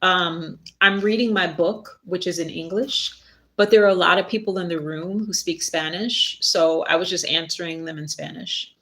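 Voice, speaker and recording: Chatty woman on a live stream, low voice. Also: moderate pace, fairly bright tone. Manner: rambling, chatty, self-deprecating. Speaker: author and spiritual worker hosting a live stream. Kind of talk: casual live-stream chat and reading.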